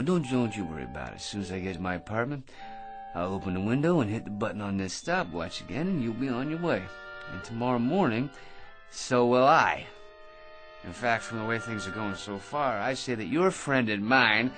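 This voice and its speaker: Excitable rambling eccentric dreamer, very animated. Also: male voice, medium pitch. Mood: smug, triumphant. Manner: rambling, stammering, chuckling, grandiose. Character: excitable, eccentric, self-important male dreamer full of harebrained schemes. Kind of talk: theatrical radio drama acting.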